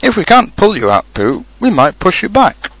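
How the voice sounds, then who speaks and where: A fussy storybook rabbit played by a man, pitched far above a normal male register. He is brisk, prim and politely stern, with animated swoops and a dry, close sound. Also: dry recording, full character voice. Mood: matter-of-fact. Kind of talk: storybook character reading.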